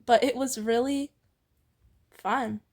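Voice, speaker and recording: A woman's voice: fairly high pitch, fairly even intonation, takes it slowly, darkish tone. A woman reading fan fiction aloud in a casual way, often breaking into giggles and stumbling over the text.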